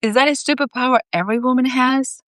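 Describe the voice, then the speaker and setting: A woman's voice: sits high, fast talker, no echo, studio quality, voice pushed up, close to an everyday voice. A woman voicing a mischievous, critical mystery woman in a story, pointed and teasing. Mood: teasing, pouting.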